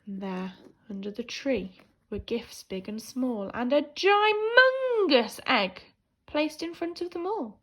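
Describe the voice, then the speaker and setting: A woman teacher reading a children's picture book aloud in an animated, theatrical way, swinging between hushed and emphatic delivery. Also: sits high, slow. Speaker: primary school teacher reading a story.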